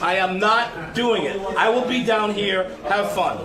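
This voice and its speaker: A man's voice: sits high, measured pace. A man in a heated argument, stubborn, aggrieved and curt, swearing as he refuses. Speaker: DJ and performer in a touring show. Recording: echoey room with crowd noise. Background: crowd noise.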